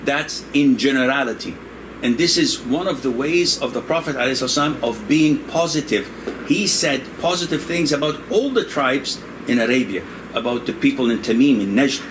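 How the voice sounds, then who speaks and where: An older man, a religious scholar, answers questions in a loose, conversational way, wandering between points and breaking into laughter. His voice sits at an ordinary pitch. A steady hiss of room noise and air conditioning sits behind him. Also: a little fast. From Indonesia. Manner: digressive, joking, laughs often. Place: room in Saudi Arabia.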